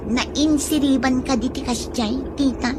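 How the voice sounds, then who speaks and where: A woman's voice: high-pitched, measured pace. A woman playing a chatty young niece in a drama, with an Ilocano Filipino accent. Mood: admiring, chatty. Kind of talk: Ilocano audio drama acting. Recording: clear vehicle noise behind the voice.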